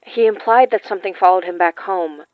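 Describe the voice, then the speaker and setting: An actress playing a young woman with magic in a horror audio drama, polite and quietly determined, speaking in a hesitant, dryly teasing way. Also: on the low side, fairly quick, no echo, natural voice. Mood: polite, searching. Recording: slightly dull, dry studio sound.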